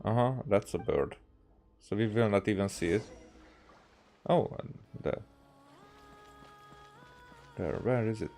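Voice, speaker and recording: Casting: Hungarian-accented man, lowish voice, casual halting streamer musing over a game, light music underneath. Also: animated, darkish tone. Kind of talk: casual gameplay commentary.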